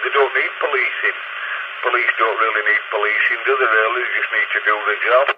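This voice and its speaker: A man's voice: fairly low pitch, fairly even intonation, fairly quick. A man with a Northern English accent chatting casually and bluntly over a CB radio, swearing freely and giving his opinions. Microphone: CB radio handset. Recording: tinny CB radio, heavy noise.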